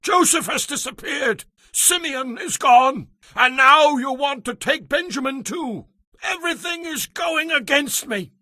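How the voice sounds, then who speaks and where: A man's voice: very high-pitched, lively intonation, measured pace, pushed and bright, no room sound, clean studio sound, full character voice. A man playing an anguished, protective old father, wailing emphatically in a dramatized audio Bible.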